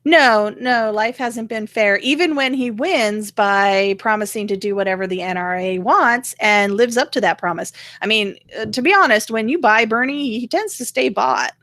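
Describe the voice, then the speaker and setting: A woman's voice: on the high side, normal speed, hardly any pauses, a bit bright, no echo. A woman giving casual political commentary, explaining her opinions in a wry, conversational way. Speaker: co-host of political rant show.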